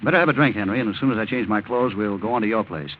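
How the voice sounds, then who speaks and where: An actor playing a smug young blackmailer in a 1940s radio drama, glib and condescending, with casual menace. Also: male voice, fairly low pitch, very fast, lightly acted. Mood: brisk, controlling. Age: young adult. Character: smug, smooth-talking, insolent young male blackmailer. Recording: tinny, noisy 1940s radio recording.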